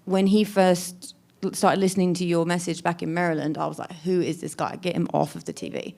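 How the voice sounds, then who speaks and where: A woman's voice: fairly low pitch, fairly even intonation, fairly quick, creaky, warm tone. A woman, a wife and mother in the congregation, talking candidly and chattily about her marriage. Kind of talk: candid church discussion.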